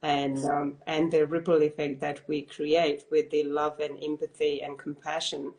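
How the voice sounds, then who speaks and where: A woman hosting a live-stream interview, speaking softly in an effusive, affirming way. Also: deep voice, level tone, measured pace, rough recording. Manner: effusive, affirming, soft-spoken. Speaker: host, interviewer.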